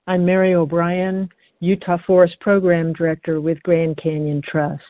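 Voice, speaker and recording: A woman's voice: lowish voice, flat delivery, normal speed. A woman, a program director, doing a scripted reading of expository prose. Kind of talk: scripted reading, enumerating points. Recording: tinny phone-like audio.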